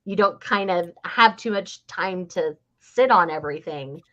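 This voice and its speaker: Lower woman's voice, slightly dull audio. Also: unhurried, fairly bright tone. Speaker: book discussion podcast panelist. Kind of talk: casual chat.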